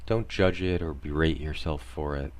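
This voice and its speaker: A man narrating a scripted parody guided meditation in a deadpan, soothing instructional manner. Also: very deep voice, normal speed.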